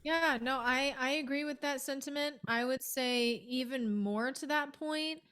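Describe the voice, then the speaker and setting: A woman's voice: high voice, measured pace, fairly bright tone. A woman, a singer guest, talking earnestly and enthusiastically in a casual interview.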